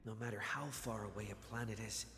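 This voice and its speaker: A man's voice: on the low side, flat delivery, quick pace, a bit bright, natural voice. A man voicing a young male game hero who whispers his inner thoughts in a musing way. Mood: pondering. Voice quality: whispered, breathy. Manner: musing, thinking aloud. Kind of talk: game voice acting. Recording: roomy long reverb under game music.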